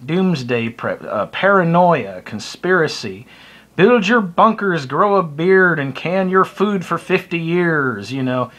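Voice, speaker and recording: A man's voice: fairly high pitch, animated, takes it slowly, fairly bright tone. A middle-aged American man talking casually and bluntly to camera, with a wry, opinionated manner. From United States. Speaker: hobbyist video blogger. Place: small indoor room by a window. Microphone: phone or webcam close to the face.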